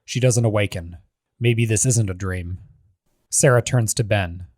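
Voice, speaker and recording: A man's voice: fairly low pitch, a little slow, a little mellow, no echo, studio quality. A man giving scripted narration, reading a screenplay's stage directions as the narrator of a table read.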